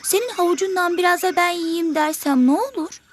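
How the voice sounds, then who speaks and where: A woman's voice: very high voice, brisk, a little hiss or hum. A woman voicing a small, selfish, stubborn bird pupil in an educational cartoon, whiny and argumentative. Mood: defiant.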